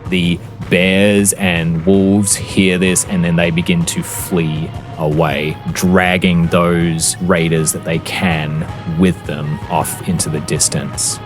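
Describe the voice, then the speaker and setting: A man working as a game master, narrating a tabletop fantasy game in a measured, deliberate, descriptive storytelling manner. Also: deep voice, flat delivery. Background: background music.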